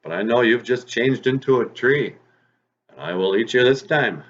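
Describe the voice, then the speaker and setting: A proud male fox hunter from a folk tale, acted out by a man. He is easily fooled and declaims indignantly, his pitch lifted and swinging widely. The sound is a little dull. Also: animated, normal speed, a bit bright, slight background noise, natural voice. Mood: angry, frustrated.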